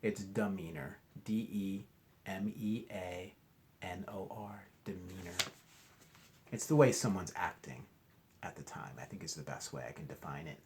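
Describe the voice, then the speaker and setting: A man reading a storybook aloud to children like a teacher, expressively, pausing to ask questions and explain. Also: sits low, a little mellow, slight background noise. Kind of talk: interactive storybook read-aloud.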